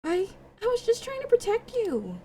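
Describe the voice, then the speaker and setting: A woman voicing a high-strung, defensive young woman in a visual novel, with a pouty, pleading delivery. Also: huge pitch swings, moderate pace, dark tone, recorded from a distance, slight background noise, full character voice. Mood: hurt, defensive.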